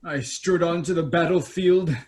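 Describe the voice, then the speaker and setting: A man's voice: on the high side, fairly even intonation, normal speed, darkish tone, natural voice. A man playing a vain, boastful young fairy-tale prince in a staged reading, pompous and self-admiring. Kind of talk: staged reading of children's theater.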